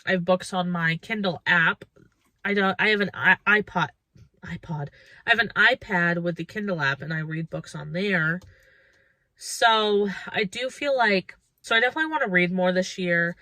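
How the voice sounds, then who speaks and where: A woman's voice: on the low side, moderate pace, pushed and bright, dry recording. A woman of about thirty chatting casually and reflectively to her viewers as a lifestyle vlogger, informal and thinking aloud.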